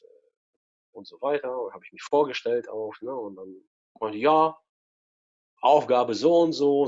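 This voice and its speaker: Interview guest, forties, husky voice, rambling storyteller, muffled recording. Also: male voice, mid-range voice, darkish tone. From former Soviet Union. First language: Russian. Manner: rambling with many fillers and tag questions. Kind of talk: casual personal storytelling.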